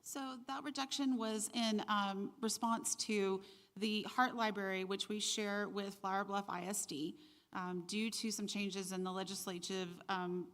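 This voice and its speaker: Measured city staff woman explaining. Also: mid-range voice, fairly even intonation, a bit bright. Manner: measured, explanatory, some ums. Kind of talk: budget explanation at a community meeting.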